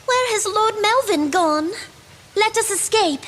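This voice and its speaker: Very high-voiced, resolute royal girl. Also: expressive, unhurried, a bit bright, slight background noise, full character voice. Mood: urgent, grave. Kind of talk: fantasy game voice acting.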